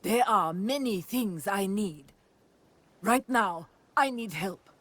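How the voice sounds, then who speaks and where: A woman's voice: mid-range voice, expressive, slow, a bit bright. A woman playing a poor, weary old village woman in a game, plaintive but dignified. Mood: weary, pleading. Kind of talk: dramatic game cutscene acting.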